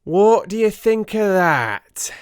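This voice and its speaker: A man plays a small, pompous police detective in an audiobook drama. The voice is pushed high and swoops about with boastful, triumphant excitement. He sounds thoroughly pleased with himself. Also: slow, smooth, no room sound, studio quality, heavily acted. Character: pompous, excitable little male police detective, self-satisfied. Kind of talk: dramatic audiobook character acting.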